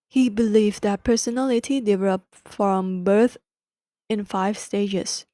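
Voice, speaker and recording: A young woman with a Thai accent hosts a podcast, her voice warm and mellow. She talks slowly and deliberately, fixing her own words as she goes. The recording is close and dry. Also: medium pitch, dry recording. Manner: careful, deliberate non-native English with self-corrections. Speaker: podcast host. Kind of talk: solo book-summary podcast.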